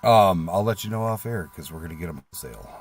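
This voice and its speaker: A man's voice: sits low, animated, fast talker. A man co-hosting a livestream in casual, conversational talk. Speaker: livestream co-host. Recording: faint background noise.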